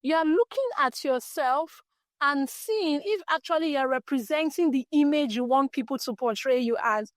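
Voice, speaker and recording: A woman's voice: high-pitched, moderate pace, no echo. A woman with a Nigerian English accent hosting a solo podcast, talking earnestly and encouragingly about her path as a creative and her faith. She has a small, light voice. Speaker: podcast host. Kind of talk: reflective solo podcast talk.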